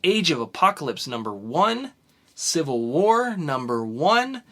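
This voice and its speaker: A man's voice: a little high, sing-song, a little slow. A man co-hosting a casual comics podcast, chatting in an upbeat way as he runs through a list of upcoming releases. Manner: listing items in a chatty, upbeat way. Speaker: podcast co-host.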